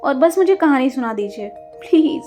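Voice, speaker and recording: A sensitive, introverted girl played by a woman storyteller speaks in a very high, mellow voice. She is earnest and emotional, like a shy heroine in an audio storybook. Faint music plays underneath. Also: expressive, normal speed. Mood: pleading. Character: sensitive introverted young girl, earnest, pleading, easily moved. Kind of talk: acted dialogue in audio storytelling.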